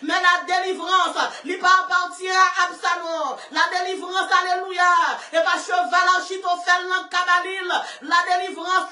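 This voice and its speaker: A preacher, shouting a fervent sermon, chanting and breaking into song. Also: very high-pitched. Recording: echoey room, far from mic.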